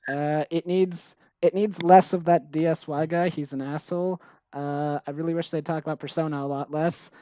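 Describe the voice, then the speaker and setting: A man co-hosting a gaming podcast, joking in a flat, monotonous deadpan. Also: fairly high pitch, moderate pace, a little hiss or hum. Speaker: podcast co-host. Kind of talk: casual gaming podcast banter.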